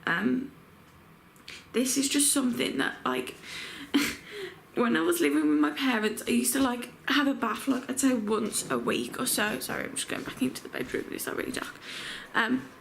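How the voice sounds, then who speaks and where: A young pregnant woman talks to a handheld camera in her bedroom, rambling along with lots of fillers. Her fairly high voice has a gravelly, croaky edge, and a faint hiss sits underneath. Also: moderate pace. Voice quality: croaky morning voice. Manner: chatty, with constant fillers. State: heavily pregnant. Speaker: vlogger. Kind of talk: casual vlog chat. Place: home bedroom.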